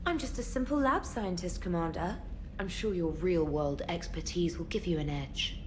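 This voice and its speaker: A woman's voice: on the low side, moderate pace, a little hiss or hum, natural voice. A woman playing a witty, playful young military comms specialist in a video game, with a wry, articulate, teasing manner. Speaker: comms officer. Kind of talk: video game dialogue acting.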